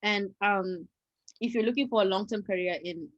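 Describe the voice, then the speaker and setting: A woman's voice: mid-range voice, moderate pace, no echo, highs cut off. A woman giving career advice in casual panel chat, hesitantly circling toward her point.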